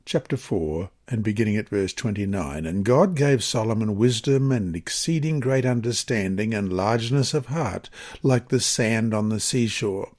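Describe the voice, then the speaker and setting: A man reading a scripted religious lesson and scripture passages in a measured, formal, expressive manner. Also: mid-range voice. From Australia. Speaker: Bible lesson reader.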